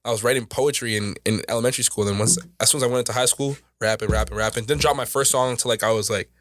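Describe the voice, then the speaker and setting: A man's voice: medium pitch, steady tone, rapid-fire, a bit bright, no echo, clean studio sound. A young man from Canada, a rapper guest telling loose, rambling stories full of slang on a casual podcast.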